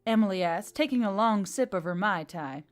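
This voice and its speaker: A woman's voice: medium pitch, expressive, normal speed. A woman reading scripted fiction as an audiobook narrator.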